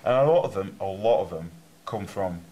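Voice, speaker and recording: Low-voiced rambling young interviewer. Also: male voice, expressive, normal speed, mellow. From Germany. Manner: rambling, restarts questions often. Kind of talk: casual church interview.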